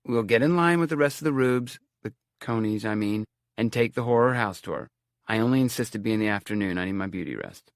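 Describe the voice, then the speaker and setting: A sardonic young college man who quips and scolds his friends, as an audiobook reader voices him. The delivery is louder and punchier than the narration, with a gravelly edge. The sound is dry and close. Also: lowish voice, animated, brisk, no room sound. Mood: wisecracking.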